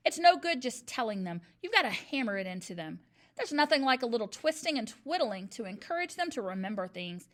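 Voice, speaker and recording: A woman's voice: a little high, very animated, fairly quick. A woman voicing a huge, furious, bullying headmistress who barks and snaps at children. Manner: loud, barking, snapping.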